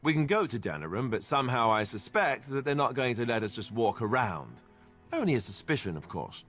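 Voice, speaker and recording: An actor playing a young, good-natured, reluctant warrior in a fantasy game, with wry, sardonic asides. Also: male voice, mid-range voice, sing-song, brisk, a bit bright, soft background music. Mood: earnest, wry.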